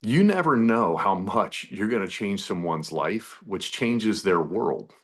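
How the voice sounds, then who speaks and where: Middle-aged guy, low voice, earnest story on a video call, does the voices when he quotes people. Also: animated, measured pace. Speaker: partner and guest on a call. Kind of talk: personal testimony.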